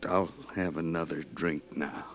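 An actor playing an uneasy, plain-spoken merchant sailor with folksy, hesitant, ungrammatical talk in 1950s radio style. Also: male voice, extremely low pitch, steady tone, unhurried, over loud music. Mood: grave, confiding. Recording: dull, lo-fi 1950s radio recording.

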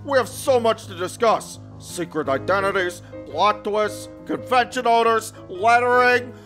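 A man playing a friendly, excitable, dopey young handyman who talks in dude slang in a cartoon comic dub. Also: sits high, measured pace, soft background music. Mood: enthusiastic. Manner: earnest, dopey, enthusiastic.

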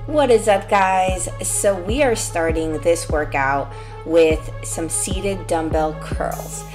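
A woman in her twenties talking through an arm workout in a relaxed, upbeat, explanatory way. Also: lowish voice, slow pace. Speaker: fitness vlogger. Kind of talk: casual workout walkthrough. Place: gym. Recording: music underneath the voice.

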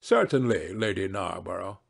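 A man's voice: low-pitched, animated, normal speed, gravelly, husky, heavily acted. A man voicing a witty, languid, cynical aristocrat in an audiobook, with suave, drawling delivery of his epigrams. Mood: dry, teasing. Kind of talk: audiobook character voice.

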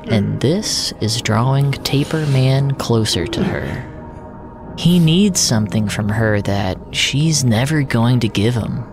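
A man narrating a scripted audio drama in a solemn, deliberate storytelling manner. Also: medium pitch, animated. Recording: echoey, with music underneath. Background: music.

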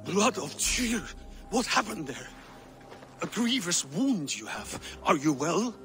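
An older male actor playing a weary, defensive old Viking father and fallen king in a video game, halting and formal. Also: high voice, sing-song, over music, full character voice. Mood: surprised, welcoming. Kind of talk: dramatic video game acting.

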